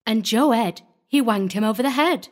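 A woman's voice: a little high, lively intonation, moderate pace, pitched above the performer's own voice, close to an everyday voice. A woman voicing a simple, devoted young mountain wife in a storybook, earnest and prim, with a rural Southern US accent. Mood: prim, proud.

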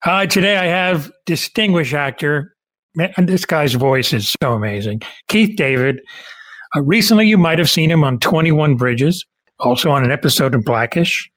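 A man's voice: a little high, expressive, normal speed, a bit bright. A man hosting a podcast interview in a casual, effusive and informal way. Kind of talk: casual enthusiastic interview chat.

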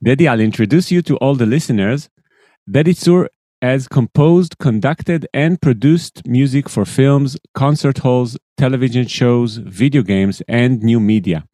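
Podcast host, male, mellow tone, dry close sound, upbeat and eager. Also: medium pitch, a little slow, dry recording. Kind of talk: podcast interview.

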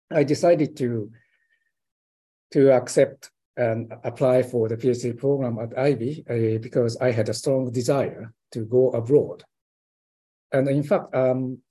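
A man's voice: medium pitch, mellow, breathy edge. An older man with a Japanese accent, a professor answering interview questions hesitantly, with many fillers and restarts. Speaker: guest professor.

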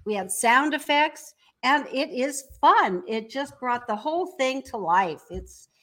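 A woman's voice: high-pitched, lively intonation, unhurried, a bit bright. A woman speaking as an entrepreneur guest, explaining her work conversationally in an interview.

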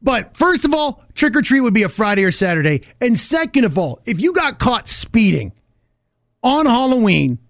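A man's voice: very high-pitched, very animated, normal speed, a bit bright, dry recording. A middle-aged American man co-hosting a casual radio show, emphatic, opinionated and joking, close on a studio microphone.

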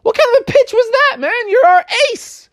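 A woman voicing a baseball team of excited animal teammates cheering on and pleading with their pitcher. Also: normal speed.

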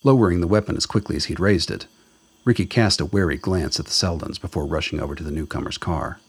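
A man's voice: deep voice, quick pace, husky. A man reading scripted narration for a radio drama.

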